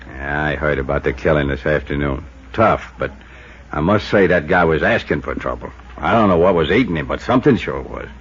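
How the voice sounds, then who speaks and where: An American actor playing a gruff, defensive, streetwise hotel bartender with blunt slangy talk in a 1950s radio drama. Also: male voice, a bass voice, expressive, brisk, full character voice. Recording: muffled, faintly noisy 1950s radio recording.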